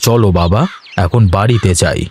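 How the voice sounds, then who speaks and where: A man's voice: fairly low pitch, moderate pace, background noise, natural voice. A man voicing a grumbling, easygoing village husband and father in a moral-story cartoon, with an exclamatory rustic manner. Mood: gently instructing.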